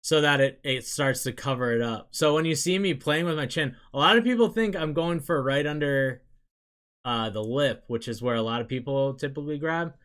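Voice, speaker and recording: A young man talking casually to his audience, a loose rambling ramble that drifts into quieter muttered asides. His voice sits at an ordinary mid pitch. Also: moderate pace, clear, resonant tone. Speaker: streamer chatting with viewers.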